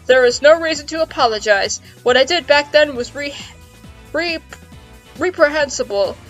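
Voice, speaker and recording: A woman's voice: high voice, expressive, moderate pace, a bit bright, voice pushed up, poor audio. Weary male ex-villain, read by a woman, earnest and subdued storybook delivery over music. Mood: earnest, regretful. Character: reformed, weary middle-aged male former villain. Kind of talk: dramatic reading of fan fiction. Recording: music behind the voice.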